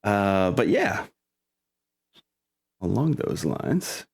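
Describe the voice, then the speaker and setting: A man narrating a tabletop game as game master, with a halting, hesitant delivery. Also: on the low side, very animated, vocal fry, breathy edge.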